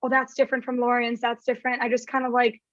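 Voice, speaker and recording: A young woman with a raspy voice, chatting casually as a podcast guest and saying "like" a lot. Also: a little high, monotone, quick pace, a bit bright, no room sound, highs cut off. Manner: chatty, heavy use of "like". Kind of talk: casual chat.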